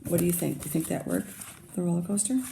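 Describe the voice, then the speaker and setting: A woman teacher reading a picture book aloud to young children, pausing to comment and ask them questions. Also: fairly low pitch, animated, creaky, warm tone, a little hiss or hum.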